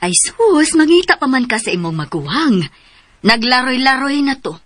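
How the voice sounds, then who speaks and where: A woman's voice: sits high, big pitch swings, moderate pace, fairly bright tone, exaggerated voice. A woman playing a worried, protective mother in a radio drama, fretful and scolding, with a Cebuano Filipino accent. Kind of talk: Philippine radio drama acting. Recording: music behind the voice.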